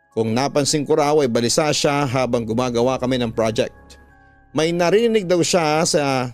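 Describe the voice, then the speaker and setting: A man with a Filipino accent narrating a scripted story in a dramatic radio storytelling style. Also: mid-range voice. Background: background music.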